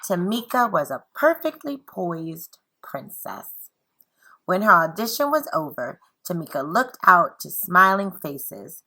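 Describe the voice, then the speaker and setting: A woman teacher reading aloud and speaking to children in an expressive, encouraging, deliberate way. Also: on the low side, rough recording.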